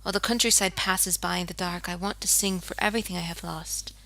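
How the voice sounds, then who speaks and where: An actress voicing a grieving jazz singer who narrates her own story in a quiet, bitter, world-weary way, often sinking to a whisper. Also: lowish voice, fairly even intonation, quick pace. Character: grieving, haunted woman jazz singer seeking revenge. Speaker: game character. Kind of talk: scripted game voice acting, confessional narration. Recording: faint music underneath.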